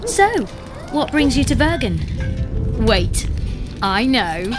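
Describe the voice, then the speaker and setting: High, ditzy young villager. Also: female voice, very animated, exaggerated voice. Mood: curious, airy. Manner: ditzy, drawn-out, inquisitive. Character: chatty, naive, airheaded young village woman. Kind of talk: comic video game acting. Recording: game music under the voice.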